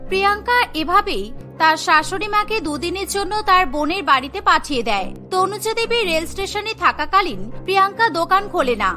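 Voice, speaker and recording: A woman's voice: very high-pitched, expressive, fairly quick, sharp tone. A woman narrating a scripted cartoon story. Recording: music behind the voice. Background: music.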